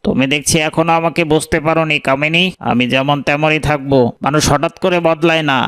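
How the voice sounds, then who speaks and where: A man's voice: fairly high pitch, steady tone, brisk, fairly bright tone, smooth, natural voice. A man playing an arrogant, spoiled young son-in-law from a rich family, dismissive and stubborn, in a moral cartoon. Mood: defiant. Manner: dismissive, haughty. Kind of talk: Bengali moral cartoon voice acting.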